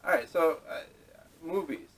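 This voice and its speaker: A man co-hosting a casual movie chat in a halting way, often restarting his questions, recorded on poor camera audio. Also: a little high, fairly bright tone, slightly rough, distant mic. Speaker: podcast co-host. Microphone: camera microphone at a distance.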